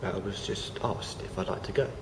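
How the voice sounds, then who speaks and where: A young man, croaky from feeling unwell, talking casually to camera with many fillers and restarts. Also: lowish voice, fairly quick. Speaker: vlogger. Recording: noisy home recording.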